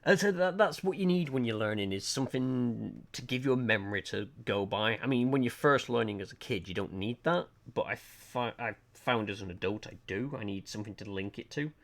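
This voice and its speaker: A man's voice: mid-range voice, a little fast, creaky, hardly any pauses. Chatty Lancashire man, rambling. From Lancashire, England. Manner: rambling, chatty, self-deprecating, hesitant with frequent ums. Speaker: art livestreamer. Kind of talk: casual chat to stream viewers. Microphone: desk mic, webcam off.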